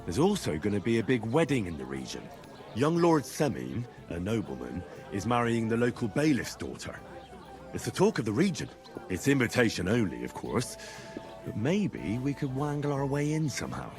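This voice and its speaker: An actor playing a patient, practical young commoner in a video game, down-to-earth and a little wry. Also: male voice, mid-range voice, huge pitch swings, normal speed, a little mellow, soft background music. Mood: earnest. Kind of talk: dramatic video game dialogue.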